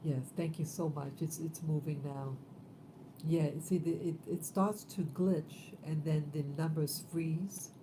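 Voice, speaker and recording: A woman in her fifties hosting a casual livestream, talking in a rambling, halting way with many fillers. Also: deep voice, steady tone, background noise. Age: 50s. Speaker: livestream host.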